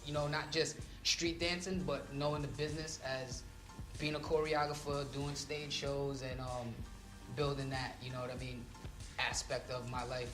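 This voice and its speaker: A man's voice: mid-range voice, level tone, moderate pace, reverberant, music in the background. A man who grew up in Trinidad, chatting casually as a guest artist in an interview and filling his talk with "you know" and "um". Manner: laid-back, with many fillers.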